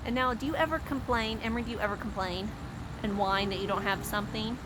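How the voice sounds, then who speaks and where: A woman's voice: mid-range voice, steady tone, fast talker, noisy background. A woman hosting a Bible story time for young children, explaining simply and expressively with questions and asides.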